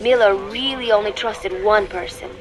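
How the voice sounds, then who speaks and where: A woman playing a young spy who records a wistful, torn diary in measured, formal, reflective words, as a scripted game monologue. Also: mid-range voice, background noise, natural voice. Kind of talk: scripted dramatic monologue on tape. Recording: music behind the voice.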